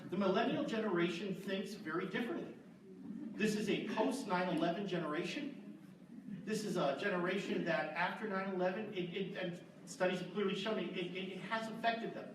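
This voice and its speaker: A priest in his fifties moderating a conference panel, speaking earnestly with frequent restarts. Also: sits high. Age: 50s. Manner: earnest, with restarts and repeated words. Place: large echoey conference hall. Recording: echoey hall, distant, audible noise.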